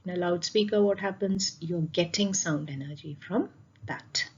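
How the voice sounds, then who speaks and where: Woman physics teacher, lowish pitch, explanatory with rhetorical questions and repetition, slightly muffled lo-fi sound. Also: moderate pace, slight background noise. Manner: explanatory, repeats key points, asks rhetorical questions. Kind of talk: spoken classroom lesson over slides.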